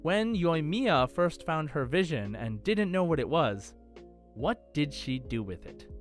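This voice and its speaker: A man's voice: fairly high pitch, sing-song, unhurried. A man hosting a podcast quiz in a casual, chatty style. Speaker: podcast host and quizmaster. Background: faint background music.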